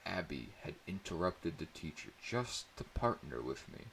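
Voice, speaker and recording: Narrator, male: low and creaky, measured dramatic delivery for first-person fiction. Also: very animated, a bit bright, slight background noise, low-quality recording. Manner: measured, theatrical reading. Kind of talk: dramatic scripted story narration.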